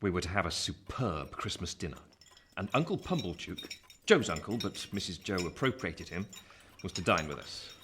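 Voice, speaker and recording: An actor playing a grown man who narrates his fearful boyhood in a radio play, measured and literary, with an English accent. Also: low-pitched, sing-song, fairly bright tone, full character voice. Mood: wry, anxious. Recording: noisy home recording.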